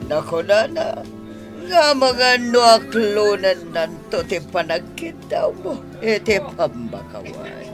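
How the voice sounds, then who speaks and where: An actor playing a scheming, flustered middle-aged mother who runs a beer house, a woman with an Ilocano accent and halting, theatrical delivery in a radio drama. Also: medium pitch, very animated, over music. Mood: evasive, agitated.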